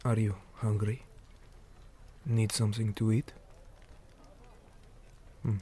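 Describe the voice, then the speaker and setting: A man playing a weary, stern orc commander in an ASMR roleplay, speaking in a hushed, deliberate manner that often drops toward a whisper. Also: on the low side, level tone, slight background noise. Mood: calm, probing. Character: weary male orc commander, stern, measured, dutiful soldier. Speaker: interrogator in a roleplay.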